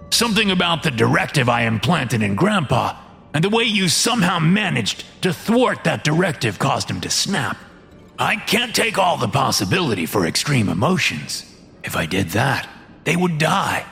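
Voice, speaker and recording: Horror audio villain, played by a male narrator: big controlling boss, gravelly, reasoning coldly, deliberate. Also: on the high side, big pitch swings, pushed and bright, soft background music, pitched above the performer's own voice, exaggerated voice. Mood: coolly explaining. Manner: measured, reasoning, coldly persuasive. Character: fat, sinister male boss, self-justifying and controlling. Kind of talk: audio horror story character.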